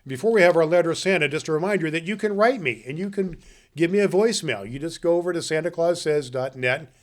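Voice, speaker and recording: A man's voice: fairly high pitch, close to an everyday voice. A man playing jolly, folksy old Santa Claus. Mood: folksy, friendly. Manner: folksy, halting, addresses children. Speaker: podcast host. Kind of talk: story reading and chat to children.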